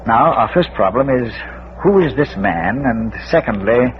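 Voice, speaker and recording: Actor as a scholarly gentleman sleuth, muffled vintage radio. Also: medium pitch, expressive, unhurried, close to an everyday voice. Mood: brisk, reasoning. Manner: precise, hesitant pedantic asides. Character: brisk, scholarly amateur detective, a well-bred older gentleman. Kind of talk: old-time radio mystery acting. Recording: dull, noisy vintage radio recording.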